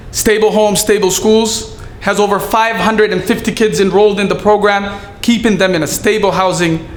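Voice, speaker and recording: Man, higher pitch, earnest measured speech, echoey hall with light background hum. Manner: earnest, measured delivery with some halting fillers. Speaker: city staffer giving a speech. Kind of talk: prepared public speech. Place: event hall. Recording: echoey room with faint ambience.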